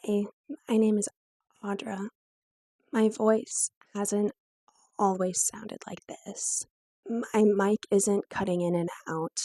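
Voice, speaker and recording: A woman's voice: mid-range voice, level tone, slow, long pauses, dark tone, no room sound, clean studio sound. A woman in her twenties with a weak, strained, breaking voice, telling her own story to camera in a candid, lightly self-mocking way. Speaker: vlogger.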